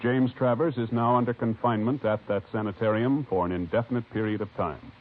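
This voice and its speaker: A man's voice: medium pitch, fairly even intonation, music in the background. Formal male announcer on muffled vintage radio. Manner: measured, formal. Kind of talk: formal 1950s radio scripted narration.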